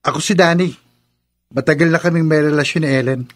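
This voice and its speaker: A man's voice: fairly high pitch, fairly even intonation, brisk, natural voice. A man playing a defiant, earnest young boyfriend in a radio drama, speaking with a Filipino accent. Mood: defensive, earnest. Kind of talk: Tagalog radio drama acting.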